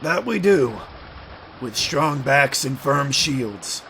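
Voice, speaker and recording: A man playing a gruff, world-weary warrior in a fantasy audio drama, blunt and gravelly, with rough colloquial speech. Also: medium pitch, sing-song, slow pace, pushed and bright, a little hiss or hum, heavily acted, poor audio.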